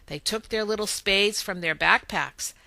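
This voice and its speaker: A woman reading a children's story aloud in expressive narration. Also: medium pitch, a little slow, gravelly, dry recording. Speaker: storybook reader.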